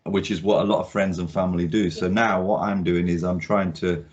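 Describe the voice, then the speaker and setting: A man's voice: low voice, fairly even intonation, normal speed. A man hosting a casual interview as an art critic, talking in a rambling way with many ums and restarts. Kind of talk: casual video-call interview. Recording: dull lo-fi sound.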